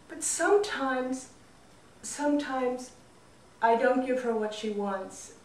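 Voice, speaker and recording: A woman's voice: fairly high pitch, darkish tone, natural voice. A woman voicing an anxious, lovesick young married man in a folk story, earnest and pleading. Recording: roomy echo, distant mic.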